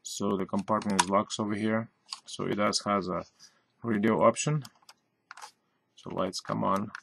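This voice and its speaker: Slow, low-voiced man over noisy recording. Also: fairly even intonation. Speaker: reviewer and channel host. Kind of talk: casual hands-on gadget demonstration. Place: at a cluttered desk indoors. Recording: clearly noisy, clicks and typing sounds.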